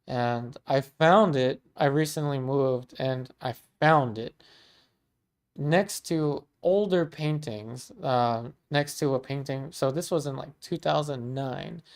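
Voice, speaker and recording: A man in his thirties from Maryland talks through a painting livestream in a relaxed, rambling way and answers viewer comments as they come in. His mid-range voice has a gravelly rasp. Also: mid-range voice, takes it slowly. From Maryland, USA. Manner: relaxed and chatty, many ums and restarts, warm thanks to viewers. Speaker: art teacher livestreaming a painting session. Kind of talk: casual livestream chat, reading viewer comments.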